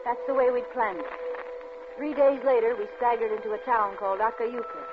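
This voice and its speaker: A woman's voice: a little high, steady tone, fairly quick, close to an everyday voice. A woman playing a cool, scheming payroll thief in a 1940s radio drama, often narrating her crime. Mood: cool, recounting. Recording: old muffled radio drama, music behind.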